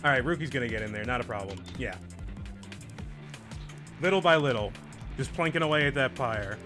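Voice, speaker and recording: A man's voice: medium pitch, lively intonation, brisk, over music. A man hosting a group let's play, casually commenting and patiently explaining the game's mechanics.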